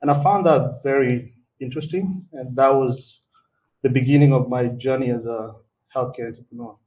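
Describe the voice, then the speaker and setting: A man's voice: medium pitch, lo-fi sound. Kenyan-born man telling his story from afar. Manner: relaxed, halting with fillers and repeats. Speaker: guest speaker, entrepreneur. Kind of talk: personal story at a live talk. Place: event hall before an audience. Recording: distant mic with faint background noise.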